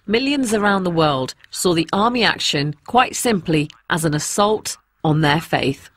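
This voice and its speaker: A woman's voice: lowish voice, fairly bright tone. A woman with an English accent presenting a personal documentary, in narration and to camera. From England.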